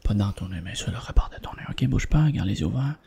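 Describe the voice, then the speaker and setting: A man with a Quebec French accent doing a soft, whispered ASMR roleplay as an examiner, gently repeating phrases and checking in with the listener. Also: lowish voice, animated, fast, gravelly, heavy background noise. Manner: gentle, repetitive phrasing with reassuring check-ins. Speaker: ASMR performer playing an eye examiner.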